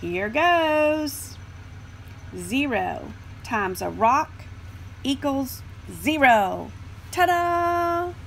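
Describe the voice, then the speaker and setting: Tiny storybook superhero, woman performing: high-pitched, bold, grandiose, deliberate declaiming. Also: very animated, vocal fry, a little hiss or hum, heavily acted. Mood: showy. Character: bold cartoon number zero, showy little superhero.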